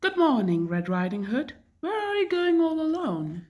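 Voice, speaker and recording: A woman's voice: high-pitched, sing-song, normal speed, darkish tone, pitched above the performer's own voice. A woman voicing a wicked, sly male wolf in a children's storybook, with a menacing manner. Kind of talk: children's storybook read-aloud.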